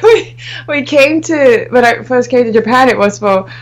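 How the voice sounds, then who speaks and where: A woman's voice: a little high, lively intonation, moderate pace. A young woman from Asia, co-hosting a casual chat and often breaking into giggles mid-sentence.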